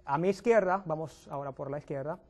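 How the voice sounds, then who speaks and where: Young guy hosting a church youth show, kinda high voice, stumbles and restarts a lot. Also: dark tone. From Dominican Republic. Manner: halting, restarts words, addresses viewers at home. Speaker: youth pastor and host. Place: TV studio.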